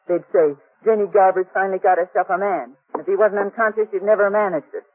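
A woman's voice: mid-range voice, steady tone, fast talker, no echo, natural voice. A woman playing a solitary, uneasy frontier woman in a 1950s radio western, curt, defensive and folksy. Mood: anxious, defensive. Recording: dull, dry old 1950s radio recording.

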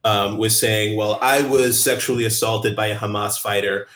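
A man hosting a casual podcast chat, wry and quick to laugh, talking in bursts. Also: lowish voice, fairly even intonation, a bit bright, echoey room. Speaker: podcast host.

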